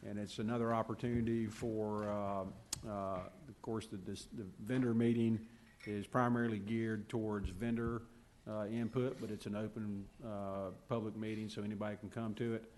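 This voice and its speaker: Flat-voiced man briefing a committee. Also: fairly low pitch, normal speed, a little hiss or hum. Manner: steady, procedural, many fillers. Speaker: county staff presenter. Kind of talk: public meeting briefing.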